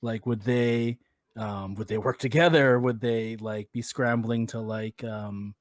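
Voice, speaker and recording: A man co-hosting a podcast, talking hesitantly with many pauses and fillers. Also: mid-range voice, steady tone, no room sound. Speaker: podcast co-host. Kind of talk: casual chat.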